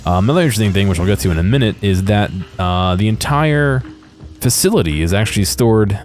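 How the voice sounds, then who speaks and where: Guy with a low voice doing chill game commentary, kinda hesitant and stop-start, bit of music behind. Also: animated, darkish tone. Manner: hesitant, halting, unscripted delivery. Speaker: video creator giving commentary. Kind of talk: casual gameplay commentary.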